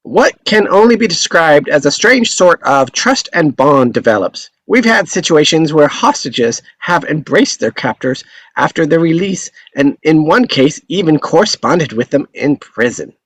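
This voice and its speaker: A man's voice: fairly high pitch, very animated, a little slow, fairly bright tone, no room sound, heavily acted. A man reading a pompous TV expert. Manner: lecturing, pompous delivery. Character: self-important male TV expert. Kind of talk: table read.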